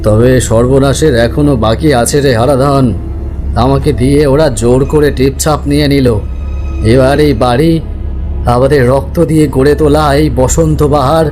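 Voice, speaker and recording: Cartoon ghost role: wronged elderly patriarch male actor, grave, mournful, mid-pitched, music underneath. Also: steady tone, normal speed, darkish tone, natural voice. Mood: grieving. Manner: solemn, lamenting. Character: wronged old patriarch's ghost, solemn and sorrowful.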